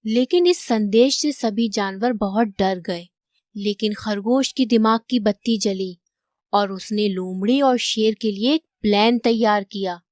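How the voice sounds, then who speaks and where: A woman's voice: medium pitch, unhurried, darkish tone, dry recording, clean studio sound. A woman narrating a scripted children's moral story.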